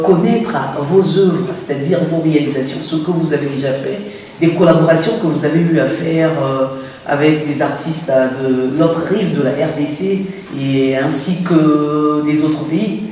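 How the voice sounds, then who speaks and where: TV interviewer, man: higher, mellow voice, formal with fillers, distant and roomy. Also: measured pace, slight background noise. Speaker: TV show host. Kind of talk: interview questions. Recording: echoey room, far from the mic.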